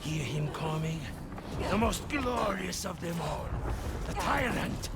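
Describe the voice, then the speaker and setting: A man's voice: on the high side, lively intonation, slow pace, vocal fry, heavy background noise, exaggerated voice. An actor playing a tyrannical, zealous warlord king in a dramatic video game, commanding and menacing. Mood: ominous, proud. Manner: commanding, swinging from hushed menace to outbursts. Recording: loud music behind.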